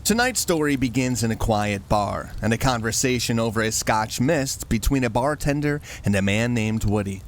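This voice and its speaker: A man hosting with a scripted, campfire-style introduction to a horror story, told in a measured, mysterious way. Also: medium pitch, lively intonation, a little hiss or hum. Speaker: host.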